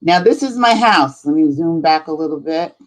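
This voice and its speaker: A woman hosting a live spirituality stream, chatting casually in an unhurried, rambling way. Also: low-pitched, lively intonation, poor audio. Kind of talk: casual livestream chat.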